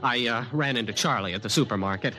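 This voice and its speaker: A man's voice: mid-range voice, fast, sharp tone, lightly acted. A man acting a frantic, haunted young man in a 1950s radio drama, agitated and theatrical. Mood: rueful narration. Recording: old radio drama, music underneath.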